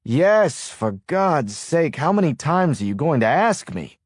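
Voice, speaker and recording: A man's voice: a little high, very animated, a little slow, dry recording, studio quality. A man voicing an anxious, sleepless man on the run with a jittery, sarcastic manner, in an audiobook. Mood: exasperated. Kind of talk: audiobook character dialogue.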